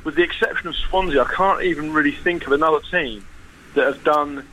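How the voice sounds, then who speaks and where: A man's voice: on the high side, fairly quick, sharp tone, rough recording. A man chatting about football as a podcast guest, wry and self-deprecating, with frequent restarts. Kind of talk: casual podcast chat.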